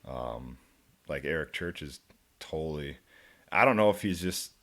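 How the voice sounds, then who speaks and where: Very deep-voiced young man. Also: animated, unhurried, fairly bright tone. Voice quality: deep low voice. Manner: laid-back, rambling, laughs often. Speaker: country musician guest. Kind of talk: casual interview chat. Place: radio studio.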